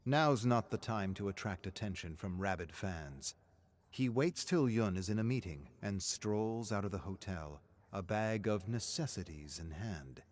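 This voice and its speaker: A man reading dramatic scripted narration of a dark story in a measured, grave manner. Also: fairly low pitch.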